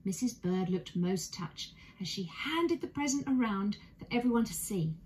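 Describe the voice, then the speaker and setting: Animated woman reading a bedtime story. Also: medium pitch, normal speed, rough recording. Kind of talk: expressive children's bedtime story narration.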